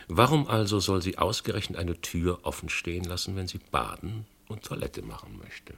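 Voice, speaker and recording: An actor playing a shrewd, methodical police inspector in a German radio crime drama, reasoning insistently with a gravelly, husky voice. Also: male voice, low-pitched, a bit bright, heavily acted. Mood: probing, methodical. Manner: deliberate, insistent, reasoning point by point.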